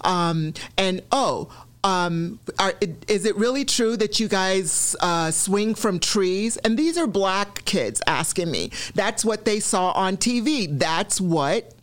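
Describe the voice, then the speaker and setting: A woman's voice: medium pitch, expressive, slow pace. A middle-aged Nigerian-born woman, telling her story as an interview guest, reflective, with frequent ums and restarts. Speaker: guest, newspaper columnist. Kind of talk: conversational interview.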